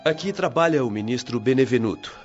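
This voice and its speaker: A man's voice: mid-range voice, expressive, a little fast, natural voice. A Brazilian man playing a friendly, modest guide in a radio drama, courteous and sincere. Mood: respectful, earnest. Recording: dull band-limited recording, music behind.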